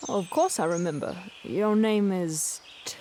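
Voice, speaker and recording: An actress playing a dignified, authoritative female elf general in a fantasy audio drama, speaking in measured, formal phrases. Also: medium pitch, close to an everyday voice. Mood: gracious. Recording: noisy outdoor ambience with birds.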